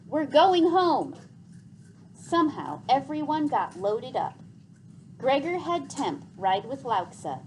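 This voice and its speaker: Female storybook narrator, higher-voiced, very animated, dramatic and deliberate read-aloud delivery. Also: slight background noise, low-quality recording. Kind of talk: expressive scripted storybook narration.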